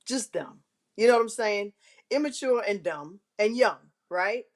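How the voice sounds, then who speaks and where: A woman hosting a live online show, telling a candid personal story to her viewers in a big, carrying voice, frank and self-mocking, often clearing her throat. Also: medium pitch, lively intonation, unhurried.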